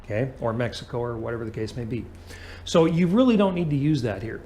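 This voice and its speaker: A man's voice: mid-range voice, fast, mellow. A man teaching an aviation lesson as a flight instructor, explaining things and checking understanding with short questions.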